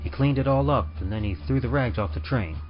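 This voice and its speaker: A man's voice: mid-range voice, fairly quick, pitched above the performer's own voice, natural voice. A man voicing a stubborn, know-it-all amateur sleuth with an eager, insistent manner in an old-time radio drama. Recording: dull lo-fi audio under music.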